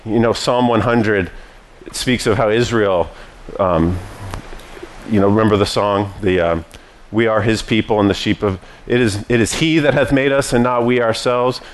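A man's voice: on the low side, normal speed. A man preaching a sermon as pastor, teaching in a conversational way with frequent restarts.

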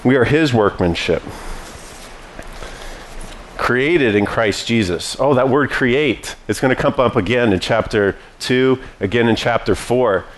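Pastor, male, mid pitch; chatty verse-by-verse sermon, faint noise under it. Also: measured pace. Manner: conversational teaching with frequent restarts.

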